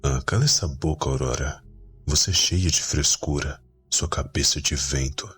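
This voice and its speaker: A man's voice: very deep voice, normal speed, fairly bright tone, husky, full character voice. A man playing a grumpy young vampire brother who is curt but secretly fond, in an ASMR roleplay drama. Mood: irritated. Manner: curt, grumbling, dismissive. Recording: music behind the voice.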